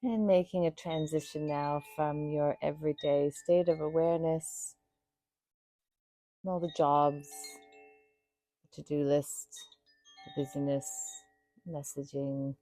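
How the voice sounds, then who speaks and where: A woman guiding a meditation in a soothing, unhurried way, speaking in short phrases with pauses between them. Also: low voice, steady tone, warm tone, soft background music. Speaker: yoga nidra meditation guide. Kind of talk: guided meditation.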